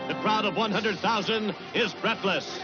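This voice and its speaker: An American man delivers theatrical mid-century newsreel commentary on horse racing. His voice is pitched very high, urgent and grandiose in phrasing. The old soundtrack is dull and limited, with loud music playing behind him. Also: unhurried.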